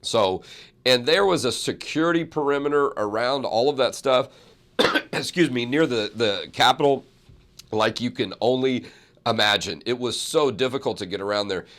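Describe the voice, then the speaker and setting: A man, hoarse from a cold, hosting a talk show and rambling through asides and self-corrections. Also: mid-range voice, normal speed, a bit bright. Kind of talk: casual talk show chat and monologue.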